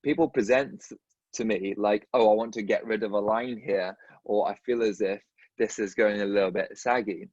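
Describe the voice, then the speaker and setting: A male guest speaks conversationally, and his speech catches on repeated word starts. His voice is husky and dry, recorded with dull, muffled lo-fi sound and no room echo. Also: fairly low pitch, steady tone, moderate pace, darkish tone. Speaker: guest, dentist. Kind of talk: casual conversation.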